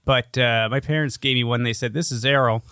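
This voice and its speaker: A man's voice: medium pitch, steady tone, brisk, bright, forceful tone, clean tone, no echo, studio quality. A man co-hosting a podcast in casual comedic chat, with an irreverent, crude way of joking. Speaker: podcast co-host.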